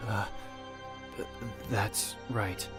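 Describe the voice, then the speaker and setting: A man's voice: on the low side, fairly even intonation. A man voicing a hesitant, puzzled young man in a video game fan dub, tentative in delivery. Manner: halting, tentative. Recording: music behind the voice.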